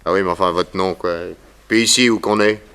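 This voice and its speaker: A low, forceful male voice from a 1960s radio crime serial. He is a streetwise detective passing as a working man, cocky and wary, with slangy, insolent phrasing. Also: steady tone, fairly quick, clean tone. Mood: wary, bargaining.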